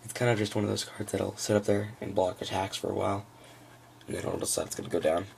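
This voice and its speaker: A man with a froggy, gravelly voice giving a casual, unscripted walkthrough of trading cards, halting as he thinks aloud. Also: on the low side, level tone, a little mellow, a little hiss or hum. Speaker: trading card hobbyist presenting a deck.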